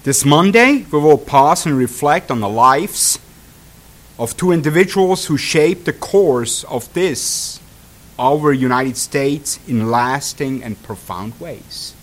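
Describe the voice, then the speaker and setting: A traveling pastor with a Swiss accent giving a sermon, talking in halting bursts full of fillers and restarts, then reading prepared text aloud. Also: male voice, a little high, animated. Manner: hesitant, many fillers and restarts. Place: congregation hall.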